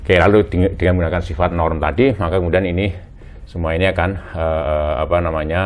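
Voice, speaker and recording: A man in his sixties with an Indonesian accent, lecturing at a chalkboard in a halting way, with many hesitations and check words. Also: low voice, steady tone. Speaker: university physics lecturer. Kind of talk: chalkboard lecture, explaining as he writes. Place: indoor room in front of chalkboards.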